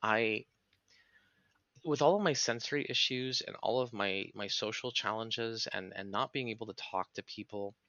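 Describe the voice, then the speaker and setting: A man's voice: fairly low pitch, normal speed, pushed and bright, no echo, dull audio. A man speaking as a podcast guest in reflective conversation, explaining things earnestly and analytically in long sentences.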